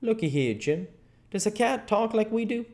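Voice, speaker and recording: A man's voice: sits high, lively intonation, normal speed, darkish tone, voice pushed up. A man voicing an earnest, know-it-all Southern boy in an audiobook, explaining things persuasively in country dialect. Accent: American South. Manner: earnest, persuasive, lilting questioning. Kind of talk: audiobook character dialogue.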